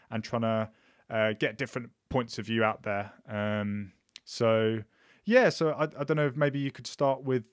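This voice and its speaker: A man hosts a casual podcast conversation. His voice sits at an ordinary pitch, and he talks in a halting, friendly way, often restarting his sentences. The sound is dry and close, with no room around it. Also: no echo. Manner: hesitant, many ums and restarts. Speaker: podcast host.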